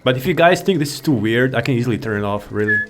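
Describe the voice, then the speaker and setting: A man's voice: medium pitch, expressive, rapid-fire, very noisy. A man giving casual, rambling gameplay commentary, laid-back and thinking aloud. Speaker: gaming video creator.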